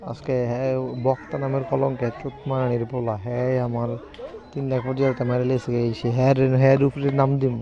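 A man's voice: mid-range voice, level tone, fast, muffled recording, a crowd in the background. A man with a Bangladeshi accent chatting in a coarse, colloquial, insistent manner. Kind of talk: casual conversation.

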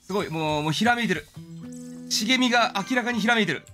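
A man's voice: sits high, fast, soft background music. A man doing casual game commentary as a streamer, exclaiming and talking to himself as he plays. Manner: animated, exclamatory, talks to himself.

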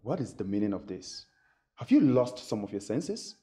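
A man plays a smug older brother who treats his sister as a kid. His voice is mid-pitched and carries a strong room echo. He talks in a dismissive, condescending way. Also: sing-song, moderate pace, warm tone.

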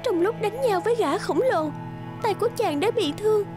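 A very high-pitched girlish princess. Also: expressive, normal speed. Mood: insistent. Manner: girlish, earnest. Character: sweet, determined young princess. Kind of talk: cartoon fairy-tale acting. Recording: music under the voice.

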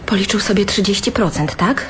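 A woman's voice: medium pitch, a little fast, slight background noise, close to an everyday voice. A woman acting as a gambler's exasperated partner in a radio drama, asking pointed questions with dry sarcasm. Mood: exasperated, probing.

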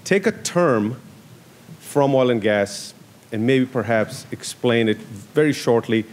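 A man's voice: mid-range voice, slow pace, darkish tone. Man moderating a conference panel. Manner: announcing, structured, with fillers. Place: large conference hall podium. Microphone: podium microphone.

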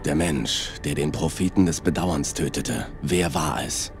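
A man's voice: a bass voice, measured pace, gravelly, music in the background, exaggerated voice. A man voicing a stoic, dutiful alien warrior in a video game, grave and resolute. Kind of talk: cinematic video game acting.

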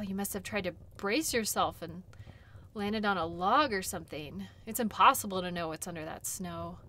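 Female, actress as kind outdoor sled guide for audio role play; gentle, reassuring, conversational, takes her time. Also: medium pitch. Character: caring, chatty female dog-sled guide tending wounds.

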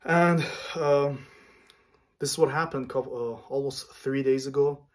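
A man in his thirties from Iran, speaking earnestly and haltingly to camera in a small home room, often stopping to search for words. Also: medium pitch, fairly even intonation. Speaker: vlogger.

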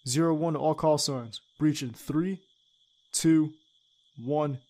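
A man's voice: on the high side, takes it slowly, very noisy. A man playing a young secret agent who leads his team and is a devoted boyfriend, in an audio roleplay drama. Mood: controlled, focused. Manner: clipped military radio commands.

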